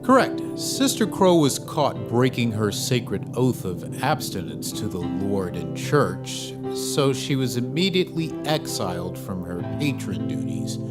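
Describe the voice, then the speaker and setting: An actor playing a businesslike lawyer who lays out case details in a measured way. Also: male voice, mid-range voice, sing-song, over loud music. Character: calm lawyer advising a couple.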